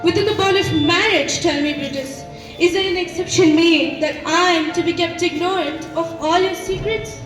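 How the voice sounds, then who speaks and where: A woman's voice: very high voice, moderate pace. A young woman acting an earnest, insistent noble Roman wife in a school play, pleading in a declamatory way. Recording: echoey stage from far off, music behind.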